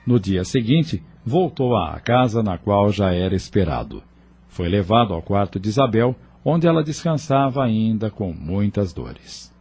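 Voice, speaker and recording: A man's voice: lowish voice, very animated, a little slow, a little mellow. A man narrating an audio novel in a scripted, dramatic storytelling style, with faint ambient noise.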